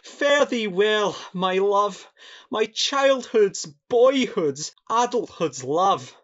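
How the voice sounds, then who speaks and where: Guy doing a mawkish young scottish peasant lad, highish voice, speechifying about love in a radio farce. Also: animated, drawn-out words, a bit bright, highs cut off, heavily acted. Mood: mournful. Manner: sentimental, declamatory. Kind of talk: farcical radio play acting.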